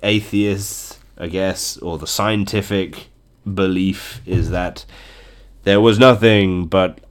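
A man's voice: sits low, fairly even intonation, takes it slowly. A man hosting a casual podcast with a blocked nose from a cold, chatty and joking, chuckling often. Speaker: podcast host. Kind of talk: casual solo podcast chat.

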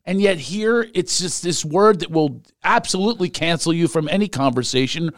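Animated American man hosting a podcast. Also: fairly high pitch, normal speed, dry recording, clean studio sound. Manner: joking, animated storytelling. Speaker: podcast host. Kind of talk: casual comedic interview chat.

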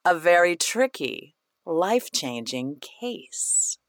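An actress playing a scheming, imperious playwright in a radio play, with a melodramatic, emphatic delivery. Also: female voice, fairly low pitch, sing-song, slow, over loud music, full character voice. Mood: sly, scheming.

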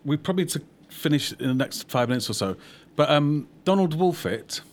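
A man's voice: on the high side, lively intonation, fairly quick, slight background noise. A man hosting a casual post-screening film discussion. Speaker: panel host.

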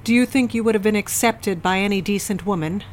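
A woman's voice: medium pitch, a little fast, natural voice, poor audio. An actress playing a cold, controlling middle-aged mother in an audio drama, cutting and accusing in a melodramatic way. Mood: scornful, accusing. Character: cold, scornful, controlling mother of a grown daughter.

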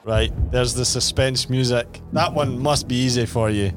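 A man's voice: medium pitch, steady tone, moderate pace, over music. A man hosting a podcast, teasing his guest and laughing while running a quiz. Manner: teasing, laughs often, repeats himself. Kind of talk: casual chat.

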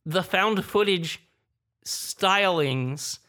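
A speaker with a medium-pitched voice takes part as a panelist. The talk is conversational critical discussion at a roundtable. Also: drawn-out words, pushed and bright.

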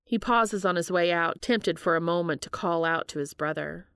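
A woman's voice: on the low side, moderate pace, a bit bright, smooth, no room sound, clean studio sound. A woman reading scripted fiction aloud as an audiobook narrator. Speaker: fan fiction audiobook reader.

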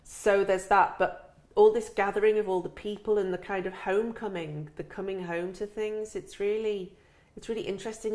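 Woman, middle-aged, mid-pitched voice, chatty interview host, rambling and jokey, casual conversational delivery. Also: a little mellow.

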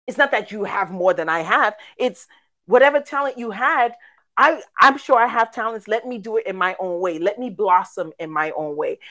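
A woman co-hosts a faith podcast. She talks casually and reflectively, with an expressive storyteller's way and a medium pitch. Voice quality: raspy. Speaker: podcast co-host.

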